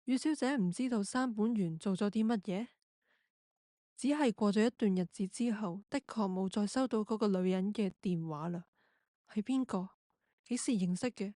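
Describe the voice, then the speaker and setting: A woman's voice: medium pitch, moderate pace, mellow, no echo. Narrator for radio drama: woman, mid-range voice, scripted delivery, very dry room sound.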